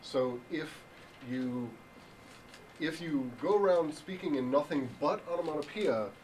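Halting deadpan man, far off in a room. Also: fairly high pitch. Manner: deadpan, halting with many ums. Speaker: improv presenter. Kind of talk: improvised mock lecture. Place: hotel function room. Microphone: far room camera. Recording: distant voice with room hiss.

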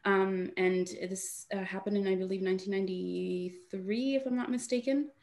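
A young woman from Honduras, a guest artist talking on a video call in a halting way, full of fillers and restarts. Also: medium pitch, steady tone, darkish tone. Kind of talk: casual webinar talk.

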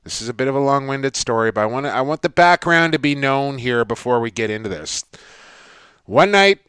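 A man's voice: medium pitch, expressive, fairly quick, a bit bright, clean tone, no echo, clean studio sound. A man co-hosting a podcast, chatting casually and telling long self-deprecating stories. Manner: rambling, self-deprecating storyteller. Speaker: podcast co-host.

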